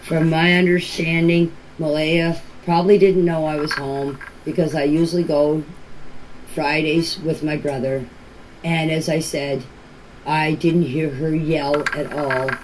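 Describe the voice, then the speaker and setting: A woman's voice: low voice, flat delivery, unhurried, a little mellow. Older Maritime Canadian woman, noisy voice clips. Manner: repeats and rephrases herself. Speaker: family member speaking about a case. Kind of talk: informal spoken statement answering questions. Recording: noisy amateur voice clips with clicks.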